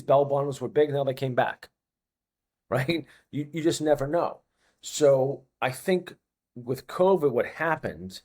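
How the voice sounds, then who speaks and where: A man's voice: medium pitch, a little mellow, breathy edge. A man in his fifties with a Boston accent, a fitness coach talking as a podcast guest, stressing his points by repeating phrases. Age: 50s. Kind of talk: casual podcast chat.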